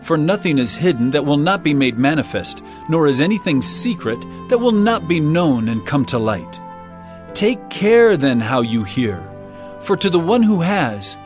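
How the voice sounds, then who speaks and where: An actor playing Jesus as a calm, authoritative teacher with a measured, solemn delivery. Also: male voice, medium pitch, natural voice. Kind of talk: dramatized scripture reading. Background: music.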